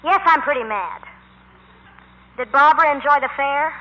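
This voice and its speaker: A woman's voice: sits high, moderate pace, close to an everyday voice. An actress playing a frank, practical teenage girl in 1940s radio style. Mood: cool, hurt. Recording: muffled 1940s radio recording, music behind.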